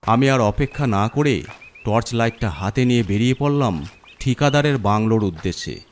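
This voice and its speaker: A man's voice: medium pitch, expressive, moderate pace. A man narrating a scripted horror story in a dramatic storytelling style.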